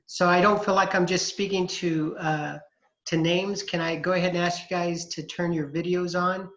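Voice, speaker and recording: A man in his forties, a college accounting instructor, introducing himself to his class in a relaxed, chatty way over a video call. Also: high-pitched, normal speed. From California, USA. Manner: relaxed and chatty, with frequent fillers. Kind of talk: casual online class introduction. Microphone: computer mic on a video call. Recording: echoey, dull video-call audio.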